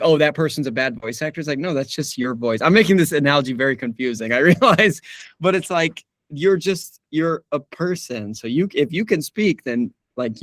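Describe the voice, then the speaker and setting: A man's voice: medium pitch, no room sound. A young man, a voice actor, chatting casually in an interview, laughing often and restarting his sentences. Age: young adult. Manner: relaxed, laughs often, many restarts and fillers. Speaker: voice actor guest.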